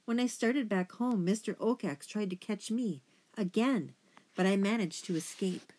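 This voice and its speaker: A woman's voice: mid-range voice, moderate pace, a little mellow, pitched above the performer's own voice, close to an everyday voice. An earnest young girl mouse from a storybook, voiced by a woman narrator. Her voice sits raised and anxious, and she speaks hesitantly, with a pleading distress. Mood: subdued, upset.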